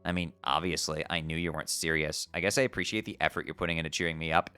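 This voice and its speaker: A man voicing a mopey, self-pitying teenage troll boy who talks low and sulky. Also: steady tone, quick pace, bright, forceful tone, smooth, soft background music.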